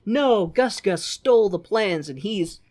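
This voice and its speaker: A podcast co-host in casual conversation, retelling a film in an animated way and acting out bits of dialogue. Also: mid-range voice, a little slow. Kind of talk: casual chat recapping a film.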